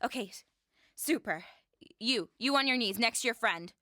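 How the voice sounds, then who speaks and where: A woman's voice: on the high side, expressive, normal speed, sharp tone, no room sound, studio quality. A woman playing a doting, dangerous ex-military woman who stalks the man she loves. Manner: sweetly doting with a menacing edge. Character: obsessive ex-military female stalker, cheerful and dangerous.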